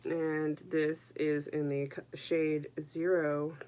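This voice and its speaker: Low-voiced young woman, stuffy nose from a cold, chatty and jokey, knitting vlog at home. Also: level tone, slow, a little mellow. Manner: chatty, self-deprecating, jokey asides. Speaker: knitting podcast host. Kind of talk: casual chat to camera.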